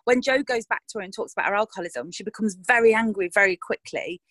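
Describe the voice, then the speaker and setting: A woman speaks frankly and casually about her character, often restarting her sentences as she thinks aloud. Her voice sits at a middle pitch. The sound is dry and close, with no room around it. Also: quick pace, fairly bright tone, no room sound. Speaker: actress interviewed about her roles. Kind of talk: casual interview chat.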